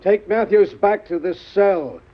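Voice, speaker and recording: An American actor playing a bullying, curt police lieutenant in a 1940s radio crime drama. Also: male voice, high-pitched, a little slow. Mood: hard, accusing. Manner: barking orders, curt interrogation. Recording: dull old radio recording.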